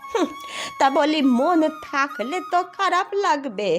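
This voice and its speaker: A woman voicing a scolding old village grandmother in a cartoon, with an emphatic, drawn-out way of talking. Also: high-pitched, lively intonation, fairly bright tone, faint music behind. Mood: grave, complaining. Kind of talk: exaggerated Bengali cartoon voice acting.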